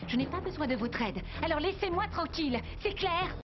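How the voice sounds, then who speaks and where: A woman's voice: very high voice, expressive, brisk. A woman acting a guarded, spirited young woman in a dubbed cartoon, earnest and clipped. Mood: defiant. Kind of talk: dubbed animated series acting. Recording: muffled sound with music underneath.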